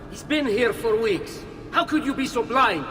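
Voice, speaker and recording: A man's voice: very high voice, lively intonation, moderate pace, fairly bright tone. A man playing an agitated, scolding conspirator in a video game. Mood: alarmed, scolding. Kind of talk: video game cutscene acting. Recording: reverberant hall, music underneath.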